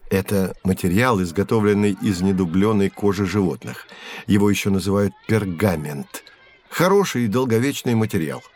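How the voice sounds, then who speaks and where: A learned professor, with a slightly low voice. He explains things in measured, didactic phrases, as a guide in an educational audio drama for children. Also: a little mellow. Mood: patient, explanatory. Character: learned male professor guiding children through history.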